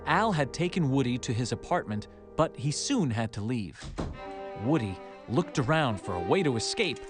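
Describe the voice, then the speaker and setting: Guy reading a kids story, lively up and down delivery, music playing behind him. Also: medium pitch, moderate pace. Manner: animated, expressive storytelling. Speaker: storybook narrator. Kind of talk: scripted children's read-along narration.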